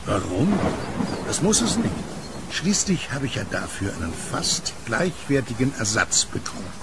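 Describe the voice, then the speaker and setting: Creaky-voiced, easygoing blind newspaper seller. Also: male voice, mid-range voice, big pitch swings, measured pace, heavy background noise. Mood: calm, candid. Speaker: kiosk newspaper vendor. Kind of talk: audio drama acting.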